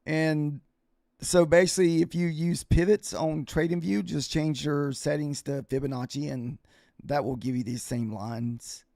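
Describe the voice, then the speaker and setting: Guy livestreaming his trading, kinda higher voice w/ lots of fry, getting hoarse, chats off the cuff to viewers. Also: fairly even intonation, dark tone, no room sound, studio quality. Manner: informal, halting, thinking aloud. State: voice starting to get hoarse. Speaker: livestreaming futures day trader and host. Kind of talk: casual live trading commentary, answering viewers.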